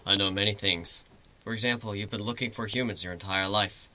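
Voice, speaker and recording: A man playing a mysterious, all-knowing human in a story reading, speaking in a measured, self-assured and quiet way. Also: fairly low pitch, steady tone, voice pushed down. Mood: smug, calm.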